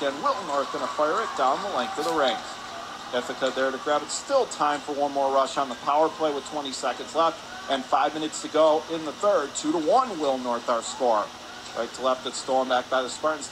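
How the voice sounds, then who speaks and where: High-pitched male hockey play-by-play announcer, noisy rink. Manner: excitable, voice rising on shots and saves. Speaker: radio play-by-play commentator. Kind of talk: live hockey play-by-play commentary. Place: indoor ice hockey arena. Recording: noisy rink ambience behind the voice.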